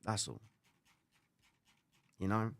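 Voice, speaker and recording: Cypriot guy hosting a trading stream, punchy bright voice, keeps saying "all right?", goes loud then mumbly. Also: drawn-out words, vocal fry. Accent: Cypriot English. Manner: swings from loud animated preaching to low muttering asides; casual, frequent "all right?" tag questions, occasional swearing. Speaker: livestream trading host. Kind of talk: live stream chat reading viewer comments.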